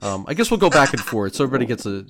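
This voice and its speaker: A man's voice: mid-range voice, big pitch swings, rapid-fire, noisy background. Man hosting a chatty interview.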